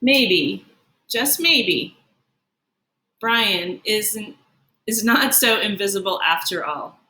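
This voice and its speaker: A woman reading a children's picture book aloud like a teacher, in an expressive, deliberate way. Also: mid-range voice, slight background noise. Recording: roomy, echoing sound.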